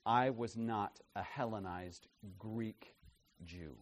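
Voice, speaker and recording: A man preaching an expository sermon, emphatic and tagging his points with "right?", his loudness swinging from soft asides to forceful declarations. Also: lowish voice, takes it slowly, slight background noise. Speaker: pastor.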